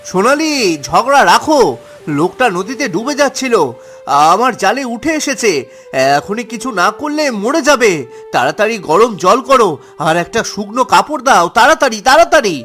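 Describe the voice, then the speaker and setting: A man's voice: very high-pitched, expressive, moderate pace, bright, forceful tone, full character voice. A man playing a kind-hearted, earnest and hesitant poor husband in a cartoon. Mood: urgent. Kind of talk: Bengali moral-story cartoon acting. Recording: music under the voice.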